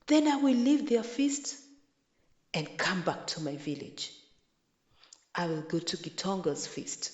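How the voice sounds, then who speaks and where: Woman reading as an eager old village man, animated and emphatic. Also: on the low side. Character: eager, scheming old village man who loves feasts. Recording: echoey, roomy sound.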